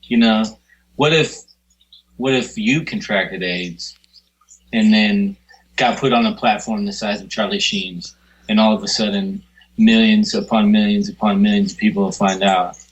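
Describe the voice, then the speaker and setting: A man co-hosts a podcast, chatting casually with a lowish voice. He talks bluntly and with emphasis, and swears freely. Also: fairly even intonation, moderate pace, muffled recording. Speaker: podcast co-host. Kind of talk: casual chat.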